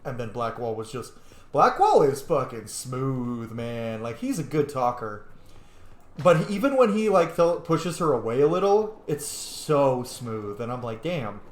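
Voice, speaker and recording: Male let's-play commentator, mid-pitched, informal and profane, musing aloud, echoey room. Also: big pitch swings, unhurried. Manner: informal, sweary, thinking aloud. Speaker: video game player and commentator.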